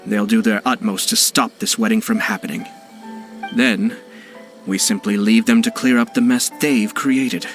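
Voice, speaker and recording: An actor playing a calm, shrewd young nobleman in a video game, speaking in a measured, formal and articulate way. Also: on the low side, faint music behind, lightly acted. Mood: composed, calculating. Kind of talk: scripted video game dialogue.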